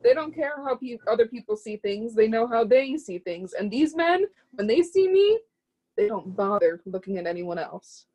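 A woman's voice: fairly high pitch, big pitch swings, moderate pace, warm tone, low-quality recording. A woman playing a proud, zealous would-be artist's muse in a play reading, speaking with lofty, scornful emphasis. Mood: defiant pride.

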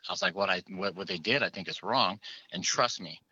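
A father calling in to a show and telling his story in an earnest, halting way. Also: low voice, pushed and bright, husky, no echo. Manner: earnest, halting, repeats words. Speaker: caller, parent. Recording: muffled phone line.

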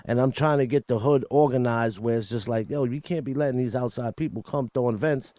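New York rapper guest, mid-pitched, candid and halting, dry studio sound. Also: male voice, dark tone, no echo. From Coney Island, New York. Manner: candid, repeats words and restarts often. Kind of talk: casual interview talk.

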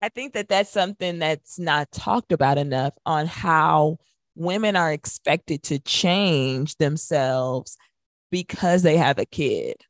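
A woman's voice: low-pitched, takes it slowly, no room sound, studio quality. A woman co-hosting a radio show in casual chat, talking in a conversational, opinionated way.

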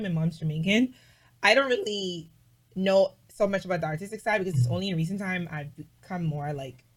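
Woman, slightly low voice, emphatic, animated, filler-heavy casual chat. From Bahamas. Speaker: interview guest, vlogger.

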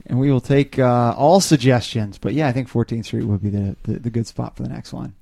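Mellow man, casual podcast banter. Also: mid-range voice, fairly quick, vocal fry, dry recording, clean studio sound. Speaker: podcast co-host.

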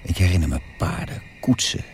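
An actor playing a man wistfully looking back on his childhood, narrating a radio drama in measured, reflective fragments. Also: low voice, lively intonation, a little mellow, a little hiss or hum. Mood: wistful, reminiscing. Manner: measured, reminiscing in short fragments. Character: man wistfully recalling his early twentieth-century childhood. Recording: prominent music behind the voice.

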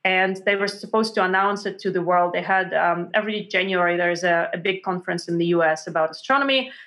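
Animated woman guest. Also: on the low side, brisk. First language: Russian. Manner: animated, many restarts and fillers, acts out quotes. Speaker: author guest. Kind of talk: conversational interview storytelling.

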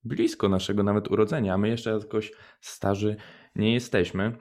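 A man's voice: lowish voice, fairly even intonation, moderate pace, darkish tone. A young man co-hosting a radio show, moving between prepared narration and casual chat. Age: young adult.

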